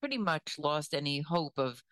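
A woman giving conversational news commentary in a measured, deliberate way, weighing her words as she goes. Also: low-pitched, animated, a bit bright, dry recording.

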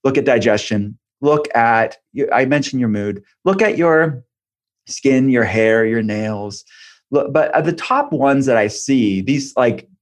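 Guy guest expert on an interview show, explains stuff point by point, mid pitched voice. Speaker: guest expert, functional medicine practitioner.

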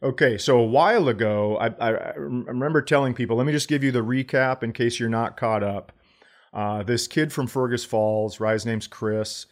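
A man's voice: mid-range voice, normal speed. Man telling stories in casual chat. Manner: profane, conversational, acts out quotes. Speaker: podcast co-host. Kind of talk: casual chat, comedic storytelling.

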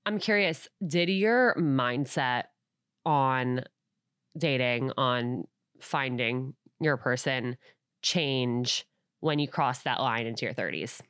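A woman's voice: very deep voice, slow, fairly bright tone, smooth, dry recording, clean studio sound. A woman hosting a podcast, chatting in an upbeat, encouraging way during an interview. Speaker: podcast host. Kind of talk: casual interview chat.

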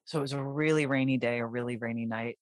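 A woman in her forties chatting casually with a friend and co-worker, telling stories in an animated way. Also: extremely low pitch, fast talker, no room sound, clean studio sound. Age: 40s. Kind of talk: casual chat.

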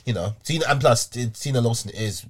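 A man's voice: medium pitch, brisk. A man with a British accent chatting casually as a podcast co-host, often repeating words for emphasis. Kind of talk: casual chat.

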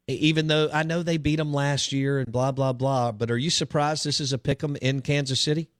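A man's voice: medium pitch, steady tone, a little fast, dry recording, studio quality. An American man hosting a sports radio show, interviewing a guest in a casual conversational style. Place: radio studio.

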